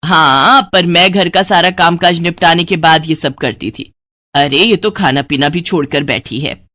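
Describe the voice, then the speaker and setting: A woman's voice: fairly low pitch, measured pace, bright, forceful tone, no room sound, studio quality, voice pushed down. Woman doing a nagging cartoon mother. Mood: grumbling. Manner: nagging, grumbling. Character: grumbling middle-aged mother, strict housewife.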